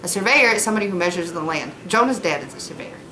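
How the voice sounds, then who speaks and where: A woman's voice: medium pitch, lively intonation, very fast, bright, forceful tone, roomy sound, rough recording. Woman teaching, noticeable background noise. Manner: informal, explanatory, speaks simply to children. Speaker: elementary school teacher. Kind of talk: read-aloud lesson with casual explanation to pupils.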